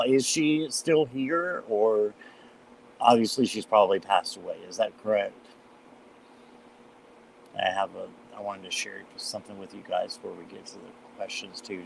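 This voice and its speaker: Slightly hoarse man reading tarot live. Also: lowish voice, a little hiss or hum, rough recording. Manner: chatty, greets viewers by name, many fillers. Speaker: tarot reader hosting a live stream. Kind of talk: casual live-stream chat.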